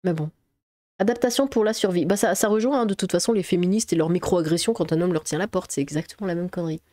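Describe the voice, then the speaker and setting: Mellow, sarcastic French woman commentator. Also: fairly low pitch, fast, dry recording, studio quality. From Alsace, France. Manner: sarcastic, exasperated asides. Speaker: online commentator. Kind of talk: reading an article aloud with casual reaction commentary.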